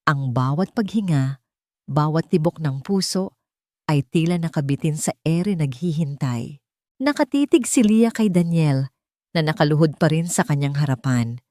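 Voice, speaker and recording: A woman reads a dramatic romance audiobook in a lowish, mellow voice. She tells it deliberately and with emotion. The sound is dry and intimate, with no room around it. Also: lively intonation, no echo. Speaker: narrator of romance stories. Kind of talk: dramatic scripted audiobook narration.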